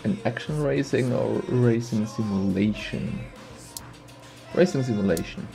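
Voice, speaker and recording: Sniffly man with a cold, mellow dark tone, unhurried thinking aloud, let's play host. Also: medium pitch, creaky, faint music behind. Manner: thinks aloud, muses and repeats himself. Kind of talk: casual gameplay commentary.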